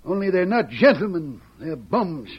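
An actor playing a gruff, impatient small-town sheriff with folksy American frontier speech in an old-time radio western. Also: male voice, sits high, big pitch swings, moderate pace, full character voice. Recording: dull, noisy vintage radio recording.

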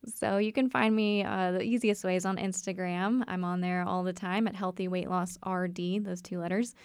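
Upbeat woman guest with gravelly edge. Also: fairly low pitch, fairly even intonation, moderate pace, dry recording, studio quality. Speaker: guest, dietitian and investor. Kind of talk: radio interview. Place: radio studio.

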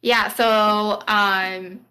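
A woman with a mid-range voice hosts a casual podcast. She speaks at a slow, unhurried pace and retells stories haltingly, as if in chat with friends. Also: mid-range voice, little pitch movement, pushed and bright. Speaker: podcast host running a quiz on fairy tales.

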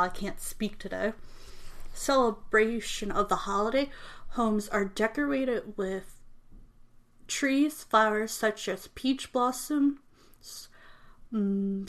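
Slow, halting woman reading aloud. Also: a little high. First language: not English. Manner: halting reading with frequent self-corrections and restarts; apologetic about mispronouncing names. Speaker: reader presenting a text. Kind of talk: reading an informational text aloud.

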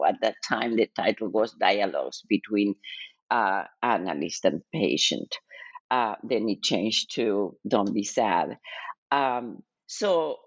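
An older woman with an Argentine Spanish accent, answering interview questions as a guest in a measured, reflective way. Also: a bass voice, no echo, lo-fi sound. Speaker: guest author, psychologist.

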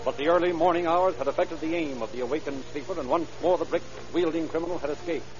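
An actor narrating a police case in a grave, dramatic old-time radio style. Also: male voice, fairly high pitch, fast, lightly acted. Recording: dull old radio recording, loud music.

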